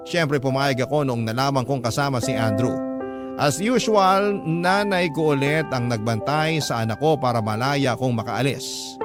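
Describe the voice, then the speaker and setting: A man's voice: medium pitch, lightly acted. A man with a Filipino accent narrating a confessional love story in a scripted, first-person radio storytelling style. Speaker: narrator reading a listener's story. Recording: loud music over the voice. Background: loud background music.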